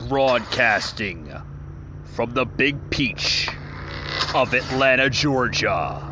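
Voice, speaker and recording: A man giving a dramatic show intro as announcer, slow, drawn out and emphatic. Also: medium pitch, expressive, lo-fi sound, background noise.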